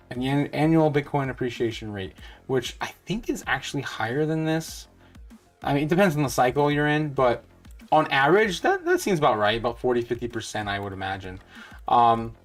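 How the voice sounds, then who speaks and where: A man in his thirties with a mid-range voice talks loosely and confidently. He rambles and restarts his sentences often. Soft music plays faintly behind him. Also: medium pitch. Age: 30s. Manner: rambling, with many fillers and restarts; upbeat and certain in his views. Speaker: crypto channel host. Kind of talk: casual talk over a retirement calculator.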